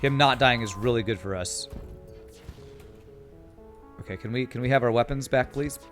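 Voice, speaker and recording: A male streamer with a calm, soothing voice that has gone a little tired after a long session. He chats easily over the game, reading comments aloud, with faint game music playing softly behind him. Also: mid-range voice, normal speed. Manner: chatty, reads chat aloud, laughs often. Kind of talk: casual live-stream commentary.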